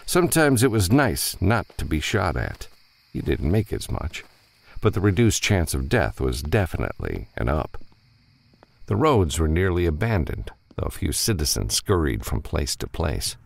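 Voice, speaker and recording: A man with an extremely deep, gravelly voice narrates a science fiction audiobook. His pitch moves up and down in a lively way as he reads the scripted prose. The delivery is that of a storyteller reading a novel.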